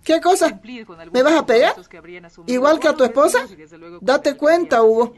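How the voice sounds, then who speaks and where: A woman's voice: sits high, lively intonation, normal speed. A woman playing a feisty, scolding elderly grandmother in a radio soap, with a Bolivian accent.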